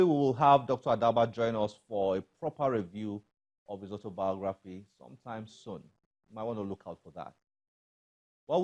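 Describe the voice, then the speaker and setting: A man with a Nigerian accent presenting a scripted TV show to viewers, with deliberate emphasis on key phrases. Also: medium pitch, a little mellow. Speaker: TV book show host.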